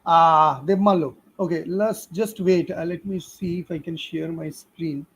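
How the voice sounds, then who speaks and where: A man's voice: fairly high pitch, fairly even intonation, unhurried, a little hiss or hum. A middle-aged man with an Indian accent, answering students' questions on a live stream in a chatty, encouraging way, with many fillers and laughs. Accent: Indian English. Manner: encouraging, fatherly advice to students. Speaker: physics teacher on a live stream.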